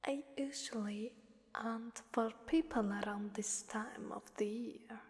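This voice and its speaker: Girl doing a creepy hungry demon lady for asmr, super slow breathy whisper, teasing, big echoing reverb. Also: on the high side. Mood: sly, boastful. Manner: slow, teasing, menacing, drawn-out phrasing. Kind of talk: ASMR roleplay monologue. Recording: long hellish reverb.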